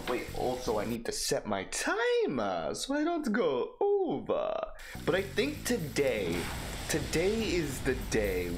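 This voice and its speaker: A man in his twenties hosting a let's play, with energetic, goofy gameplay commentary. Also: a little high, noisy background. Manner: energetic, goofy, quick reactions. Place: small home room. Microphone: studio mic on a boom stand. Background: game music.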